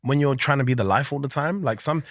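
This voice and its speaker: Guest: man, animated, loose and chatty, close dry mic. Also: mid-range voice, quick pace, no room sound. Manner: animated, chatty, laughs often, many fillers. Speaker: podcast guest, organisation founder. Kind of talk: casual interview chat.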